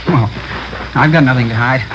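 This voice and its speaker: An actor playing a polite seventeen-year-old student leader, eager and boyish, in a 1950s radio drama. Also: male voice, medium pitch, normal speed, lo-fi sound, background noise, natural voice. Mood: cooperative, curious.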